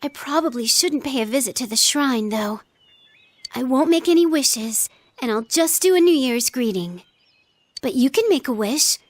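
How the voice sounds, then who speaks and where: Anime game role: gentle, reserved teen girl, polite and earnest, very high voice, dry close recording. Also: lively intonation, moderate pace, no echo. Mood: apologetic. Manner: polite, thoughtful. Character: earnest, reserved teenage girl, gentle, considerate, quietly stubborn. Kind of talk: anime video game voice acting.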